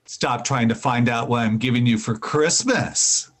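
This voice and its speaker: A man's voice: mid-range voice, a little slow, close to an everyday voice. A man playing a teasing, corny husband in a 1940s-style radio comedy, playful and hammy. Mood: distracted, fond.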